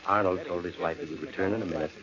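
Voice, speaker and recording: An actor playing a solemn storyteller, narrating an eerie 1940s radio suspense tale in a measured, dramatic way. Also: male voice, deep voice, fairly even intonation, natural voice. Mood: suspenseful. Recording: dull, lo-fi old radio recording. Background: music.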